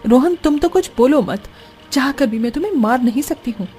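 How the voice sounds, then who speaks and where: A woman's voice: high voice, expressive, a little fast, dark tone, faint music behind. A woman playing a dutiful, exasperated young daughter-in-law in a cartoon story, weary and complaining yet compliant. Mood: annoyed. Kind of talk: Hindi cartoon story dialogue.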